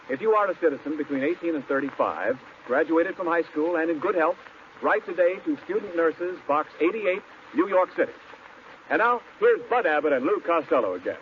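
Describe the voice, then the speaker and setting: A man's voice: a little high, expressive, fairly quick. An American man announcing in an emphatic, formal 1940s radio style, reading scripted copy. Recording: muffled, noisy 1940s radio recording.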